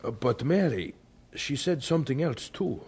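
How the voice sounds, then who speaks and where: An earnest young preacher, animated. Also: male voice, mid-range voice, breathy edge. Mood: hesitant, earnest. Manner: hesitant, earnest, halting. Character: earnest, devout young male preacher, humble and flustered. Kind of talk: audio drama acting.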